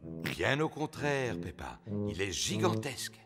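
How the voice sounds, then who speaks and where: A man's voice: on the low side, big pitch swings, measured pace, long reverb tail, loud music behind the voice. A man voicing a jolly, good-natured father pig in a French cartoon dub, playing along in a mock-formal way.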